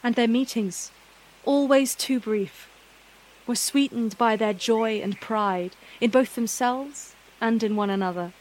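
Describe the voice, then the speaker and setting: A woman narrating a scripted fantasy story in a measured, dramatic storytelling manner. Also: a little high, slight background noise, rough recording.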